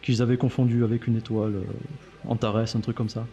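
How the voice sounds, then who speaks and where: A man acts out a cynical, suspicious intelligence agent in an audio drama. He talks fast in a relaxed, dark tone, dry and sardonic. Faint music plays behind him. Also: medium pitch, dull audio, natural voice. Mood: suspicious, weary. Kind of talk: French audio fiction dialogue.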